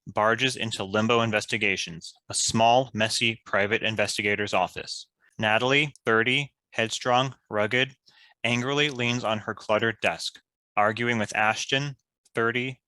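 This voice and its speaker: A man's voice: lowish voice, sharp tone, dry recording. A man reading screenplay stage directions as the narrator of a scripted table read.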